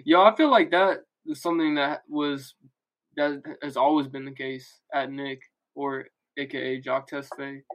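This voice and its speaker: A man's voice: mid-range voice, steady tone, measured pace, a bit bright, low-quality recording. A man co-hosting a podcast in casual chat, with a joking, slangy way of talking. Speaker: podcast co-host.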